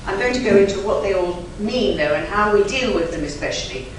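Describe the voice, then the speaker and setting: A woman whose first language is German, a conference interpreter giving an emphatic, chatty lecture to a live audience with lively asides. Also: medium pitch, fairly quick. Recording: very echoey hall, from far off, faint noise.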